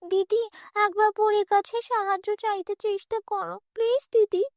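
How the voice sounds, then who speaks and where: A woman's voice: very high voice, fairly even intonation, moderate pace, a little mellow, no room sound, studio quality, voice pushed up. A woman voicing an eager, pleading little orphan girl, the younger sister in a children's fairy-tale cartoon.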